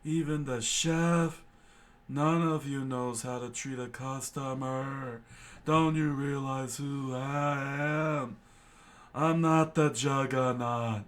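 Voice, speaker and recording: A man voicing a pompous, arrogant male navy lieutenant in a slow, haughty, indignant drawl while reading a comic aloud. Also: medium pitch, pitched above the performer's own voice, lightly acted. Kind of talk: fan reading of a comic, doing voices.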